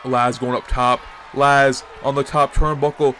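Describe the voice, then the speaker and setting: A man with a stuffy nose and sore throat calling a wrestling match as host, excitable and jumping to shouts on big moves. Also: medium pitch, measured pace, a faint crowd, a little hiss or hum. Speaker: wrestling commentator and show host. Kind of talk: play-by-play commentary.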